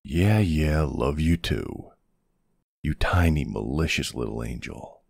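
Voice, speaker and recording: Man acting as older ex-military boyfriend, very low husky voice, slow and unhurried, tender, teasing, protective, ASMR roleplay. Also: animated, no room sound, studio quality, full character voice. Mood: fond, teasing. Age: middle-aged or older character. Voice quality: husky, gravelly. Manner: affectionate teasing, hesitant pauses, soft reassurance.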